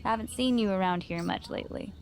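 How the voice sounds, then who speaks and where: An actress playing a frank gym owner in a video game, mentoring with dry, teasing straight talk. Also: female voice, mid-range voice, fairly quick, slight background noise, lightly acted. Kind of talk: video game cutscene dialogue.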